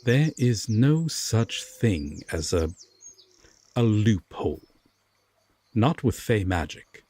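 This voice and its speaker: A man playing an aloof, stern yet secretly tender Fae king in a roleplay audio drama, speaking formally and deliberately with long pauses. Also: fairly low pitch, sing-song, a little mellow, heavily acted. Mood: grave, refusing.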